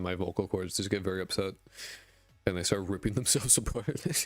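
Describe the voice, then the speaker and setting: A man streaming after twelve hours, his raspy voice worn out, who mumbles and rambles casually with lots of fillers and swearing. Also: deep voice, no echo. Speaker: indie game dev streamer. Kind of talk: casual live-stream chat reading viewer messages. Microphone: desk mic with a high-pass filter.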